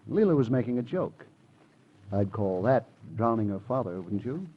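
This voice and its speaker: An actor playing an urbane, breezy lawyer who talks in a polished, offhand, bluntly casual way in an old radio drama. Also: male voice, medium pitch, sing-song, moderate pace, lightly acted. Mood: matter-of-fact. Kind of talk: 1950s radio crime drama acting. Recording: muffled old radio recording with noise.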